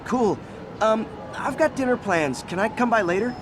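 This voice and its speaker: An actor playing a friendly, earnest young American superhero in a video game, talking in a casual, slightly awkward way. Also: male voice, high-pitched, animated, normal speed, background noise. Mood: hesitant. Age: young adult. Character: earnest, friendly young male superhero juggling everyday life.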